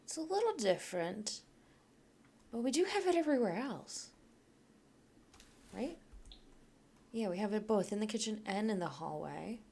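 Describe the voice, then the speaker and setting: A woman's voice: mid-range voice, lively intonation, moderate pace, creaky, long pauses, darkish tone, husky. A young woman from Poland, chatting casually as a YouTuber while she builds in a game, rambling and thinking aloud.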